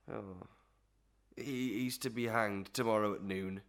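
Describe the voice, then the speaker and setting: A man's voice: fairly low pitch, fairly even intonation, takes it slowly, a bit bright. A man playing a pompous, stammering comic beadle who talks in flowery phrases, in a Victorian melodrama. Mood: flustered, mournful. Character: pompous, lovestruck comic beadle with genteel pretensions. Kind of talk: Victorian melodrama audio acting.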